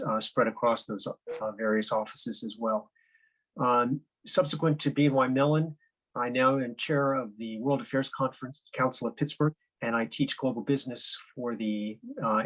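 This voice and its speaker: A man introducing himself on a panel in a relaxed way with fillers. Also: mid-range voice, rough recording. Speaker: panelist, business lecturer.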